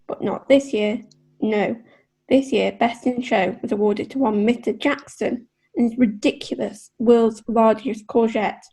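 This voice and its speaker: An actress playing an aggrieved, proud butcher's wife, blunt and scornful, in a period courtroom play. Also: female voice, on the high side, a little slow, dark tone, husky, low-quality recording. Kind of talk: theatrical courtroom play acting.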